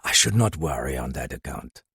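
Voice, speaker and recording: A soothing French detective, as a narrator puts him on, deep and quiet. He speaks slowly and thoughtfully, in a dry, close recording. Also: sing-song, vocal fry, breathy edge, no room sound, heavily acted. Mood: calm. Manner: measured, musing.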